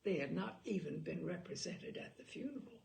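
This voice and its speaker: A woman narrating a literary short story aloud in an expressive, measured way, with dramatic phrasing. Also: fairly low pitch, vocal fry.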